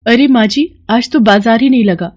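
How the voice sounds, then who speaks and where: A woman's voice: a little high, moderate pace, a little mellow, slight background noise, pitched above the performer's own voice, natural voice. A woman voicing a devoted young daughter-in-law in a storybook tale, earnest and respectful.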